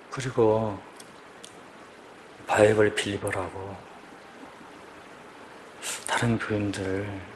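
Low-voiced man in his fifties, halting. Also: slight background noise, rough recording. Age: 50s. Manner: quiet, halting, searching for words. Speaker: police officer giving testimony. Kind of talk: personal church testimony asking for prayer.

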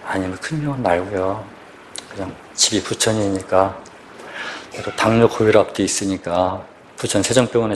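Casting man, 50s, veteran police officer, low voice, quiet halting testimony before a congregation, faint background hiss. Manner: quiet, halting, searching for words. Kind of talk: personal church testimony asking for prayer.

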